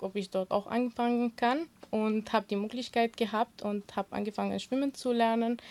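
A young woman whose first language is Kurdish, a swimming instructor answering interview questions earnestly, with many fillers and restarts. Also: fairly high pitch.

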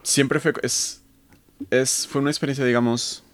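A man's voice: medium pitch, level tone, unhurried. A man in his thirties from Colombia, a guest on a radio interview, answering thoughtfully with many hesitations. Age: 30s. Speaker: guest researcher.

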